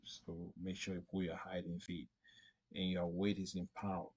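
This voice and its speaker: A man narrating an unscripted step-by-step screen tutorial in a halting, repetitive way. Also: low voice, level tone, a little mellow, breathy edge, dull audio. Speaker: tutorial presenter.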